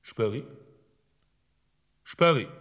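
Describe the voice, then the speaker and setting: Bossy ruthless gangster chief in a radio play, curt orders, big echoey hall. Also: male voice, drawn-out words, fairly bright tone. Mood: stern, commanding. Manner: curt, imperious. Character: commanding, ruthless crime boss. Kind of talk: German radio drama acting. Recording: long reverberant hall echo.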